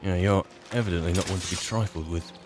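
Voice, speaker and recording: British man, lowish voice, wry muttered asides, casual game roleplay commentary, background music. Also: fairly even intonation, quick pace. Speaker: player narrating a roleplay of a fantasy video game.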